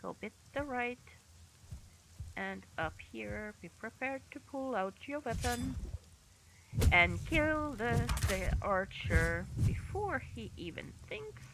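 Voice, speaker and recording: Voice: medium pitch, very animated, slow, noisy background. A game streamer giving casual commentary while playing and chatting teasingly to the creatures on screen. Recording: loud game music behind voice. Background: loud game music.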